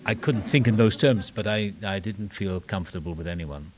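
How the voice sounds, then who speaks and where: Older Welsh man, very deep voice. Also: moderate pace, low-quality recording. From Wales. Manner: self-deprecating, wry humor; rambling with restarts and trailing asides. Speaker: recovery meeting speaker. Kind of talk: personal testimony talk. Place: meeting room.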